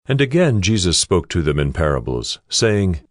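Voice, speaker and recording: A man's voice: low-pitched, sing-song, moderate pace. A man narrating a dramatized Bible as a scripted, professional storytelling read.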